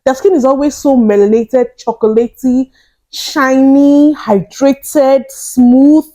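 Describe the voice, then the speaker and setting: A young woman vlogger giving casual, animated commentary straight to her viewers, often incredulous. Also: high-pitched, slow. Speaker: reaction vlogger.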